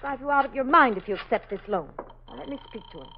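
A woman's voice: a little high, lively intonation, quick pace, slight background noise. A woman playing an anxious, insistent young daughter in 1940s radio drama, emotional and pleading. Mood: alarmed, insistent. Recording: muffled 1940s radio recording.

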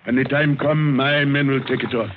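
An actor playing a boastful, hard-drinking guerrilla general with a Spanish accent and commanding broken English in an old radio drama. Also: male voice, mid-range voice, steady tone, moderate pace, noisy background, natural voice. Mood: swaggering. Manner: broken English, commanding, swaggering, repeats himself. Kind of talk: 1950s radio drama acting. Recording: tinny old radio broadcast, music behind.

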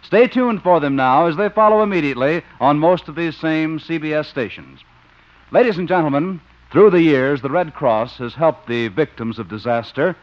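A male American network announcer in the vintage radio manner, formal and polished. He reads emphatically in a fairly high, animated voice. The old broadcast sounds dull. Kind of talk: 1950s radio announcing, scripted.

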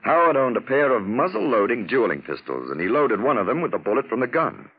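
An actor playing a suave, witty, self-satisfied gentleman detective in a 1940s radio drama, with an urbane, theatrical manner of explaining. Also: medium pitch, sing-song, brisk, slightly rough. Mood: smug, explaining. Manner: urbane, theatrical, drawling explanations in lettered points. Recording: dull, muffled vintage radio recording.

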